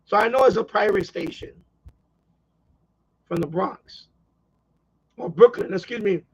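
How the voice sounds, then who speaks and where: A man's voice: high-pitched, unhurried, husky, muffled recording. A man hosting a hobby live stream, chatting casually in a rambling way and often repeating himself.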